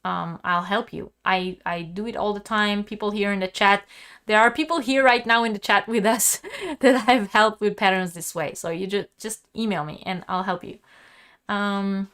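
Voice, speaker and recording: Hoarse-sounding woman teaching crochet live. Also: mid-range voice, normal speed, a bit bright. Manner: chatty, restarts often, answers viewers directly. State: sick, sore throat, losing her voice. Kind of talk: live-stream crochet lesson with chat questions.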